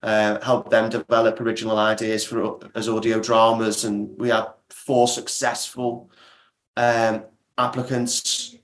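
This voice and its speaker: Echoey man explaining his production work. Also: fairly low pitch. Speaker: podcast producer, interview guest. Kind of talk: casual explanatory interview talk. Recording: roomy, echoey sound.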